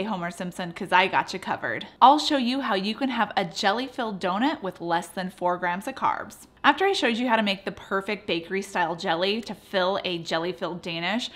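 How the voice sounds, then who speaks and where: A woman's voice: mid-range voice, fairly bright tone. A woman in her thirties hosting a cooking show, narrating recipe steps in an upbeat voice with playful asides, recorded in a home kitchen. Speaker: cooking channel host.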